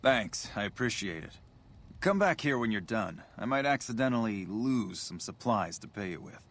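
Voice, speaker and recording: An actor voicing a frustrated, dutiful military officer in a video game, with a clipped, emphatic, bureaucratic delivery. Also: male voice, fairly low pitch, very animated, moderate pace, a bit bright. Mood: relenting, businesslike. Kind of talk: video game character dialogue.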